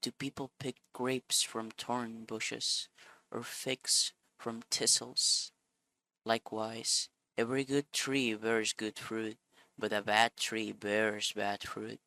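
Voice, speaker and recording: A man's voice: fairly low pitch, level tone, takes it slowly, sharp tone, low-quality recording. A man hosting a Bible video who reads a scripture passage and then talks it over casually and earnestly, a bit sniffly and often searching for his words.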